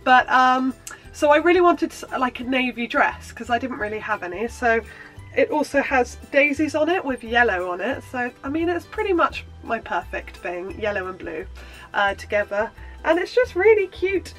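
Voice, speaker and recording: A woman's voice: sits high, big pitch swings, normal speed, fairly bright tone, soft background music, rough recording. Bubbly woman with a stuffy cold. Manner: bubbly and rambling, with many ums and apologies. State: congested from a cold. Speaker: sewing vlogger. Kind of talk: casual chatty show-and-tell.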